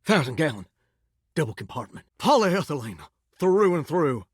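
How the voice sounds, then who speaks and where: A man's voice: a little high, big pitch swings, normal speed, husky, no echo. A man voicing a talking shower as a chatty, folksy cowboy charmer with a put-on Southern drawl, full of country sayings. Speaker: video game character. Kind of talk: game voice lines. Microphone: close studio mic. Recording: dry studio recording.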